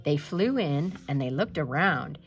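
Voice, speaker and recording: A woman reading a children's picture book aloud in an expressive, animated way, with asides to young listeners. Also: deep voice, unhurried, lo-fi sound, faint music behind. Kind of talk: expressive read-aloud narration.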